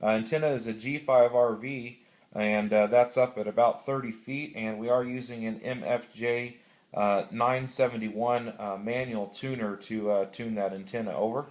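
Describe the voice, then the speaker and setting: An American man talking casually on amateur radio, explaining methodically and haltingly, with radio procedure words. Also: mid-range voice, fairly even intonation, darkish tone. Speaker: classroom teacher.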